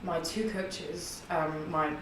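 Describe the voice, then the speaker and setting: A young woman with a husky, low voice, chatting as a podcast guest and rambling through stories with frequent laughs. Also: fairly even intonation, takes it slowly. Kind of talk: casual interview chat. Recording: echoey room, distant mic, faint noise.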